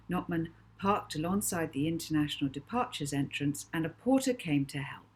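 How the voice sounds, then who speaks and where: A woman with a fairly low voice narrates a children's story. She reads as the author would, moving between chatty introduction and storybook telling. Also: a little mellow, husky. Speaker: author reading own novel aloud.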